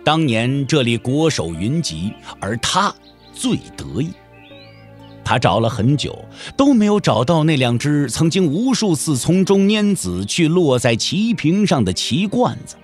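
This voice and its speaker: A man narrates a martial-arts audio drama with expressive storytelling. His voice is rather high and swings widely in pitch, with music softly underneath. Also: a little slow.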